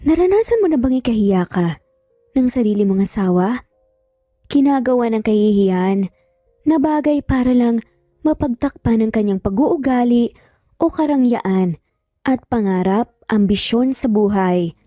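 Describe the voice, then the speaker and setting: A woman's voice: fairly high pitch, expressive, measured pace, pauses often, warm tone, no echo, clean studio sound, faint music behind. A woman with a Filipino accent narrating a scripted dramatic story.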